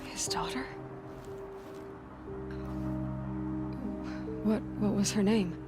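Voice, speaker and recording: Young woman warrior, acted by a woman, plainspoken, determined, reluctant leader, medium pitch, fantasy game, clear musical backing. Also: normal speed, natural voice. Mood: questioning, concerned. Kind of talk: dramatic video game acting. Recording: music behind the voice.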